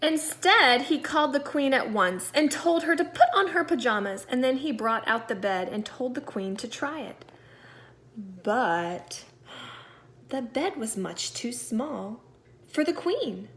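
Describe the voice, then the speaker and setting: A woman with a fairly high voice reads a children's storybook aloud like a primary school teacher. Her delivery is deliberate and expressive, leaning on key words so young listeners can follow. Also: a little hiss or hum. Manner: deliberate storyteller, stressing words, dropping to quiet asides. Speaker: second-grade teacher.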